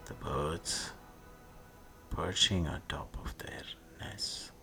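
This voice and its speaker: A man guiding a sleep meditation, speaking soothingly in short hushed phrases with long pauses, often fading into a breathy whisper. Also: a bass voice. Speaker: meditation guide. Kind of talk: guided sleep meditation narration. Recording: music behind the voice. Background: meditation music.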